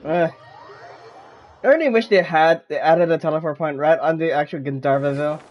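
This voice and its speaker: A man's voice: on the high side, fairly quick. Muffled male gamer commenting casually. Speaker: game streamer.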